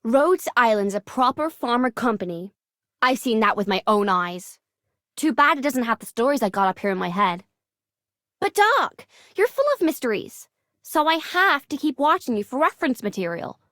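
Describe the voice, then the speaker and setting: A woman's voice: a little high, lively intonation, moderate pace, a bit bright, no room sound, clean studio sound. A woman voicing a playful, nosy, folksy horror storyteller in a video game. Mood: playful, sly. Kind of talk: video game character voice acting.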